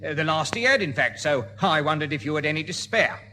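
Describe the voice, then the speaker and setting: Bright, high-voiced police sergeant. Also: male voice, expressive, fast talker, slight background noise. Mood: affable. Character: affable nosy middle-aged police sergeant. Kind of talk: 1970s radio sitcom acting.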